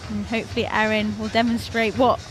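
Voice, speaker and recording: A bright, higher-voiced young woman from North Wales calls a climbing competition live, chatty and eager, cheering the climbers on. She laughs easily at her own slips, and venue music plays clearly behind her. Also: flat delivery. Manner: chatty, enthusiastic, quick to laugh at herself. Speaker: commentator.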